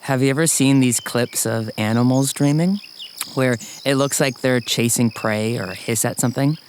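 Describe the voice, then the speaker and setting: Friendly, awkward guy played by a man: gentle, hesitant, naturalistic drama, mid-pitched, soft outdoor background. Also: normal speed, a little hiss or hum, natural voice. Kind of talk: naturalistic audio drama acting. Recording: faint outdoor ambience with insects.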